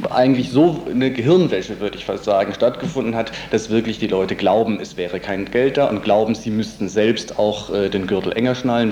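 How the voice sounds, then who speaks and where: Guy with dark mellow voice, halting interview answers, echoey room, bit of noise. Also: mid-range voice. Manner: hesitant, halting, searching for words. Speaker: interviewed congress organiser and local political activist. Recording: roomy echo with audible background noise.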